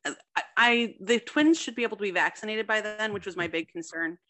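A woman's voice: mid-range voice, brisk, pushed and bright. A young woman chatting casually as a guest writer in an interview, with a self-deprecating, talkative manner. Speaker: guest, fiction writer and contest winner.

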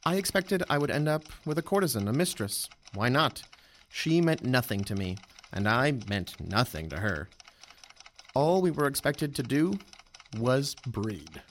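Blind commander, played by an actor, brusque and impatient, animated delivery, faint noise. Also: male voice, medium pitch, measured pace. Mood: reflective.

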